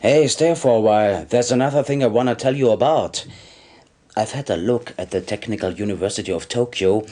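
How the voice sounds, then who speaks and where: A man playing a sly, low-voiced arms dealer. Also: a little mellow, lightly acted. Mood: smug, persuasive. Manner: smug, chuckling salesman patter. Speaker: game character actor. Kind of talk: video game cutscene acting.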